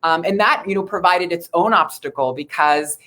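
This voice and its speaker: American woman with a slightly low voice. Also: moderate pace, a bit bright. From Ohio, United States. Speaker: city council member, interview guest. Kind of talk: conversational interview answers about local politics.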